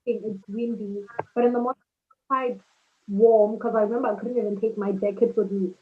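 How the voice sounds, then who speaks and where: A young woman from South Africa, a law student, chatting casually and relaxed with "like" fillers over a video call. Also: mid-range voice, normal speed, a little hiss or hum. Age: young adult. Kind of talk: casual chat. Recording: tinny phone-like call line.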